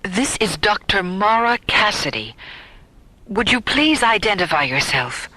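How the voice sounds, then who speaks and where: An actress playing an earnest, emotive woman doctor on a space station in a radio drama, with a breathy delivery. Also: medium pitch, very animated, measured pace, bright, forceful tone, slight background noise. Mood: composed, purposeful. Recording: radio drama with music underneath.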